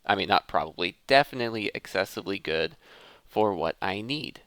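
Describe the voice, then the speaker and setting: A man with a lively, swooping pitch and a noticeably creaky voice hosts a gaming video. He talks casually through his gameplay, thinking aloud in a conversational way. The sound is dry and close, with little room echo. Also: a little fast. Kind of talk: casual gameplay commentary on Minecraft.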